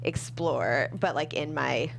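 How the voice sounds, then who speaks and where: Slightly low-voiced woman, casual and chatty. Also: slow pace, creaky, a little hiss or hum. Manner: self-deprecating, chatty, says "like" constantly. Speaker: screenwriter being interviewed. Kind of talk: casual interview chat.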